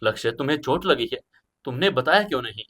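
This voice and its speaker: A man's voice: medium pitch, lively intonation, fast, a bit bright. A man voicing an anxious, caring older-sister woman in an emotional, sobbing audio story. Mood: alarmed.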